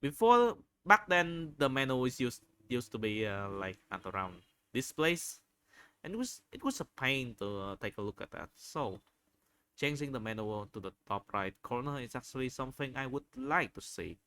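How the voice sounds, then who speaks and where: A man giving unscripted video commentary, halting often as he searches for words and repeats phrases. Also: medium pitch, very animated, pushed and bright, clean tone, no echo. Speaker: video host reviewing game screenshots.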